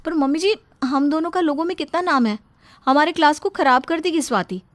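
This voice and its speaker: A woman's voice: a little fast, no room sound, close to an everyday voice. A woman voicing a snobbish, flashy young daughter-in-law with a haughty, nagging manner in a storybook tale. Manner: haughty, nagging, high-swooping. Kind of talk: storybook character acting.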